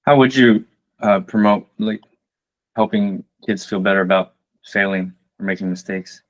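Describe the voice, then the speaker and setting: A man's voice: fairly low pitch, normal speed. A man hosting an interview, asking open questions and thinking aloud as he goes. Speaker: podcast host.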